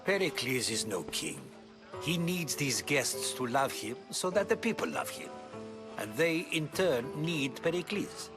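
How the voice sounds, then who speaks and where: A genial older scholar in a video game. His mid-range voice rises and falls theatrically as he makes wry, eloquent remarks, with music clearly audible behind. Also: mid-range voice, sing-song, measured pace. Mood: wry, reassuring. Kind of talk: video game cutscene acting.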